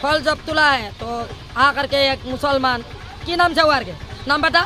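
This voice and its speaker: A man's voice: very high-pitched, unhurried, crowd noise. A man from a village, talking outdoors to a reporter in rambling plain local speech. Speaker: villager interviewed. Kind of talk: street interview.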